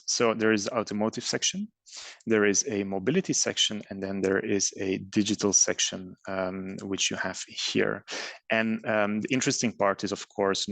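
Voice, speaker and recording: A middle-aged man from Belgium giving a presentation on design, pausing often with ums and uhs. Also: fairly low pitch, creaky, no room sound. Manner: hesitant, many ums and uhs. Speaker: design studio head and master's coordinator. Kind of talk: presentation talk with slides.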